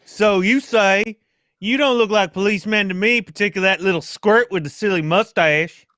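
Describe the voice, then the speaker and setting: Guy doing grumpy suspicious dad in old radio mystery, curt, clean smooth voice a bit high, dry sound. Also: moderate pace, bright, forceful tone, no echo. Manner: curt, blustery, dismissive. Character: gruff, suspicious, impatient wealthy father under threat. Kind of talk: old-time radio drama acting.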